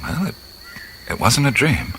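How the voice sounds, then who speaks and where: An actor playing a curious, soft-spoken adventurer man who muses and hesitates, in a radio play. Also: medium pitch, very animated, a little slow, heavy background noise. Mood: musing. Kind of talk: radio drama acting. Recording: radio play with loud night insects.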